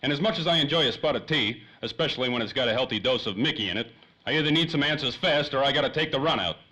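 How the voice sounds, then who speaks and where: A man's voice: mid-range voice, expressive, quick pace, sharp tone, poor audio. A man playing a tough, wisecracking private detective with brash, slangy delivery in 1930s radio play style. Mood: brash, impatient.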